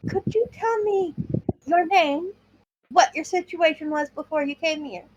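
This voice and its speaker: A woman's voice: very high voice, a little slow, lo-fi sound. A woman in a tabletop roleplay game, improvising narration and dialogue for her character.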